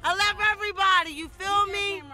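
Emotional high-voiced woman in a car. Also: steady tone, fairly quick. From Wilmington, Delaware. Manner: emotional, shouting shout-outs. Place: inside a car at night.

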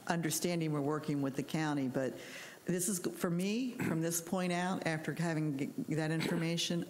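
Older woman, very low voice, board chair at a desk mic, direct and firm, faint noise behind. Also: darkish tone. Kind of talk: chairing a public meeting. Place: council chamber, seated at the dais. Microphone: desk microphone.

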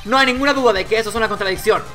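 A man's voice: high-pitched, very fast, sharp tone, faint music behind, pitched above the performer's own voice. A man voicing an earnest, determined young defence lawyer in a courtroom video game, arguing insistently. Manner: insistent, argumentative.

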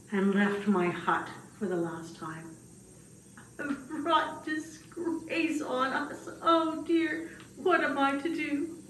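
A woman voicing a humble, fretful old man who tells his puppet-show tale in a dramatic, hesitant way. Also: on the high side. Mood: distraught. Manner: slow, dramatic, hesitant telling with wailing exclamations. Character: humble, fretful old male grass cutter, puppet storyteller. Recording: distant, echoey room with faint noise.

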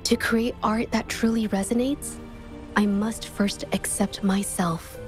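A young woman playing an earnest, gentle painter in a game, soft-spoken and measured in her reflective delivery. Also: medium pitch, steady tone, soft background music, lightly acted. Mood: earnest, resolute. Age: young adult. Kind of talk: dramatic game dialogue and reflective narration.

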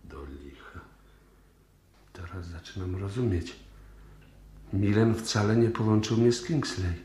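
An actor playing a puzzled, excitable police inspector in a 1960s radio drama. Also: male voice, lowish voice, measured pace, close to an everyday voice. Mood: baffled. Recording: roomy, noisy vintage radio recording.

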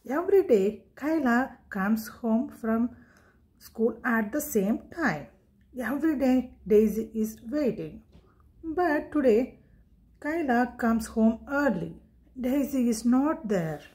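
Woman storyteller for a children's read-aloud. Animated intonation, unhurried deliberate phrasing with pauses. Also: mid-range voice.

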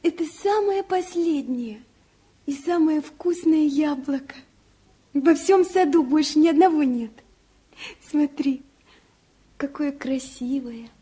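An actress playing an excited, trusting little girl with a childlike, wondering manner in a 1970s radio drama. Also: high-pitched, takes it slowly, long pauses. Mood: delighted wonder.

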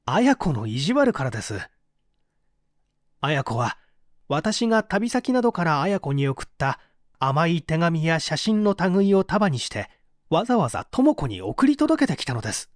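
A man's voice: on the high side, a little slow, no echo, clean studio sound. A man reading dramatically as a bitter, despairing painter who gives testimony in a formal, confessional way. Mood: aggrieved. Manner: confessional, expressive, formal narrative delivery.

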